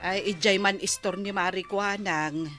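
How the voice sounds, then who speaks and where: A woman's voice: medium pitch, steady tone, measured pace, loud music behind the voice, lightly acted. A woman with an Ilocano accent playing a curious, gossiping neighbour in a radio comedy drama. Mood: gossipy.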